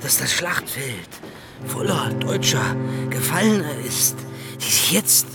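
An actor playing a dying older army colonel, delirious, fatherly and proud, speaking haltingly in a radio drama. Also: fairly high pitch, very animated, heavily acted. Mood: feverish pride. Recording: loud music behind the voice.

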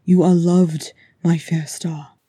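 A woman voicing a dead dwarf king in a fantasy story, proud and anguished, speaking with solemn fervour in a breathy rasp. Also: sits low, little pitch movement, mellow, no room sound, studio quality, full character voice. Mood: fervent, tender. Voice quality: breathy, rasping. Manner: slow, solemn, fervent.

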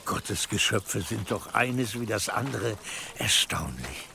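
An actor playing a nervous, obsequious cleric in a medieval radio drama, deferential, hesitant and stammering under pressure. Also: male voice, lowish voice, big pitch swings, takes it slowly, creaky, background noise, full character voice. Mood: puzzled, deferential. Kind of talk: German radio drama acting in a medieval setting.

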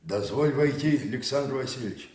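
An actor playing a loyal old veteran soldier, plain-spoken and folksy, in a theatrical radio drama. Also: male voice, mid-range voice, lively intonation, a little mellow, natural voice. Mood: respectful, earnest. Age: older. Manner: folksy, unhurried, heartfelt. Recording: roomy, echoing radio studio sound.

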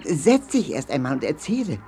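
Friendly clever hare, very animated, mellow tone, children's audio play, faint background noise. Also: female voice, deep voice, normal speed, vocal fry, exaggerated voice. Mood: curious, concerned. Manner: jolly, animated.

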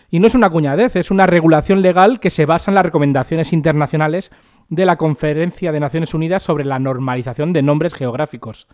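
A man's voice: fairly high pitch, darkish tone, no echo. A podcast host with a Madrid Spanish accent, a man explaining things in a wry, chatty way and laughing at his own jokes. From Madrid, Spain.

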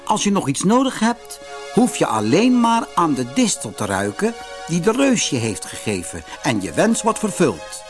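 A man's voice: fairly high pitch, wildly swooping pitch, moderate pace, over music, pitched above the performer's own voice. A man voicing a kindly, earnest good fairy in a storybook, solemn and reassuring. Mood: kind, encouraging. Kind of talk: fairy-tale storybook reading.

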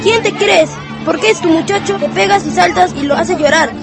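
Very shrill, plucky Peruvian schoolboy. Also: female voice, normal speed. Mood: defiant, indignant. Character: brave, defiant little schoolboy protecting his friend. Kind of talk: radio drama acting. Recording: music and schoolyard crowd behind.

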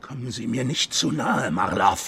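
An actor playing a nervous, hostile older Soviet undertaker in a radio crime drama, tense and defiant, with a hoarse voice. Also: male voice, mid-range voice, animated, moderate pace, sharp tone, heavily acted. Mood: defiant, frightened.

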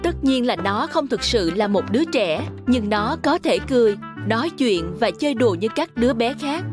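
A woman's voice: on the high side, very animated, normal speed, over music. A woman reading scripted narration for a children's fairy tale.

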